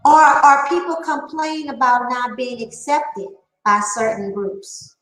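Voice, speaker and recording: A woman's voice: sits high, slow, fairly bright tone, roomy sound, poor audio. A woman nearing fifty giving a candid opinion monologue, emphatic and repeating her phrases for effect. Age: late 40s. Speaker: online panel talker.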